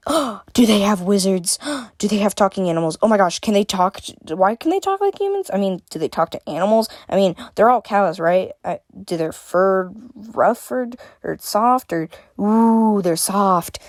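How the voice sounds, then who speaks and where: Storybook young girl, acted by a woman, naive, excitable, breathless gushing, raised pitch, dry recording. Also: normal speed, dry recording, lightly acted. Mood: excited, breathless.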